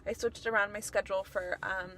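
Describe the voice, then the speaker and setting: A woman's voice: medium pitch, fairly even intonation, fairly quick, pushed and bright, slight background noise. A young woman whose first language is Spanish, talking casually and upbeat as she vlogs about her day. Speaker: vlogger and voice actor. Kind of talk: casual vlog chat.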